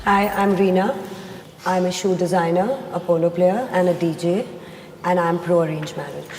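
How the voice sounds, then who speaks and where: A woman's voice: lowish voice, fairly even intonation, normal speed, darkish tone, slight background noise. A woman making a confident, persuasive case in a panel debate. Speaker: debate participant. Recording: echoey studio hall.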